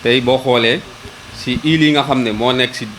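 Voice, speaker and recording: A man's voice: medium pitch, steady tone, normal speed, a bit bright, slight background noise, low-quality recording. A man with a Senegalese accent speaking as an official at a public ceremony, hesitant, often repeating words as he searches for the next one. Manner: hesitant, repeating words, switching between Wolof and French. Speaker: local government official.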